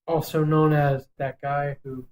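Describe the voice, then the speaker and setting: A man co-hosting a podcast, chatting casually about movies in an opinionated, animated manner. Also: fairly high pitch, measured pace, slight background noise. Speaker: podcast co-host.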